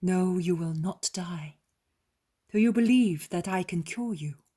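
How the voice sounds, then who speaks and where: A woman voicing a gentle, solemn male teacher who speaks calmly and slowly. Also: fairly low pitch, breathy edge. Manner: calm, slow, solemn.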